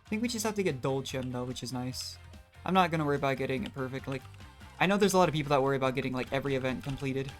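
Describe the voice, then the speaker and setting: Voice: medium pitch, rapid-fire. A streamer giving casual, self-deprecating commentary while playing a video game, with game music in the background. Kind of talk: casual gameplay livestream commentary.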